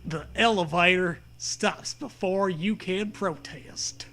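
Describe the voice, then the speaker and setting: A man reading a comedy story aloud with deliberate, mock-dramatic narration and bits of banter. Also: high-pitched, bright, forceful tone, slight background noise.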